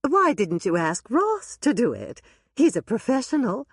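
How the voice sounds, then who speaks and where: A woman voicing an excitable, romantic bride who speaks high and gushing. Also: a little slow, slightly rough, dry recording, studio quality, exaggerated voice. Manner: bright, gushing.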